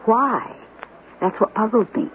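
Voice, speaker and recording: Inquisitive wife, lively high radio voice. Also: female voice, measured pace, phone-quality sound. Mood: probing, puzzled. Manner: hesitant but probing. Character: thoughtful, inquisitive wife puzzling out a mystery. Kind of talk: radio mystery drama acting. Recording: thin, noisy vintage radio broadcast.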